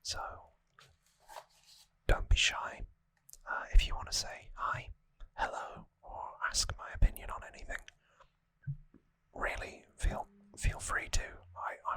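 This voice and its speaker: An ASMR host speaking in a breathy, unvoiced whisper, gentle and earnest, slightly rambling to viewers, close on the microphone. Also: normal speed, no room sound. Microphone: close microphone in a dry space.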